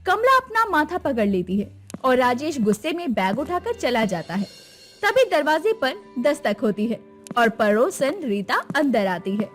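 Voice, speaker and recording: A woman's voice: high-pitched, sing-song, a little slow, faint music behind. A woman storyteller reading dramatic scripted narration of a family tale.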